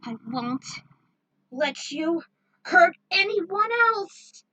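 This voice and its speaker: A woman's voice: very high voice, sing-song, drawn-out words, sharp tone, far from the mic, voice pushed up, exaggerated voice, poor audio. A woman voicing a selfless young heroine in an anime-style fan story, with desperate, dramatic exclamations. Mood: defiant.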